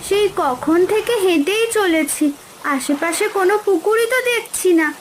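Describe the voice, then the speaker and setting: A woman's voice: very high voice, expressive, normal speed, slight background noise. A woman voicing a kind, eager young village girl in a children's cartoon, with a childlike manner. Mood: kind, reassuring.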